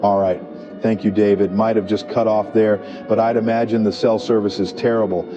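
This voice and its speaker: TV storm anchor, played by a man: measured, grave emergency delivery, lo-fi sound with clear music underneath. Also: lowish voice, little pitch movement, close to an everyday voice. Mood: serious, measured. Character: male TV meteorologist anchoring live tornado coverage. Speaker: weather anchor. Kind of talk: emergency weather broadcast. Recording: dull lo-fi audio with music.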